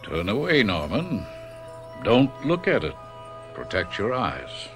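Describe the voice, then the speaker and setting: A calm, measured spirit guide. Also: male voice, fairly low pitch, music in the background, heavily acted.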